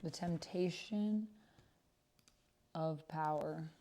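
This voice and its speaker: Female streamer, lowish voice, casual and unscripted, thinking out loud while playing a text-based roleplay game. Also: drawn-out words. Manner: thinks aloud while reading and typing. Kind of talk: casual livestream chat while reading out typed roleplay. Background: computer keyboard typing.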